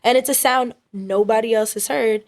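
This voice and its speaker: A young woman with a naturally high-pitched voice, chatting as a guest in a casual interview. She talks loosely, with plenty of fillers, and laughs easily. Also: normal speed. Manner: relaxed, laughs often, many fillers. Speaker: singer-songwriter guest.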